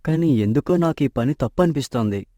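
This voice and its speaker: A man's voice: medium pitch, lively intonation, fairly quick, mellow, no room sound, clean studio sound, lightly acted. An actor playing an honest, poor young man in love in a storybook tale, earnest and troubled.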